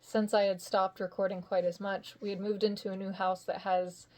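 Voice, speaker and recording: A woman's voice: mid-range voice, flat delivery, normal speed, a little mellow. Woman, voice actor guest. Chatty, laughs easily, mid-range voice, rambles. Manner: chatty and giggly, with rambling hesitant phrasing. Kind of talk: casual interview chat.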